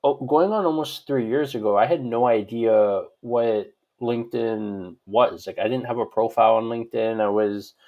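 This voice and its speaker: A man from Hawaii speaks as a guest expert in an interview. His voice sits at a medium pitch. He talks informally and ramblingly, looping through explanations and checking in with "right?" as he goes. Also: moderate pace, hardly any pauses, narrow, tinny audio. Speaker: guest expert on social media profiles. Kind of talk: conversational interview answers.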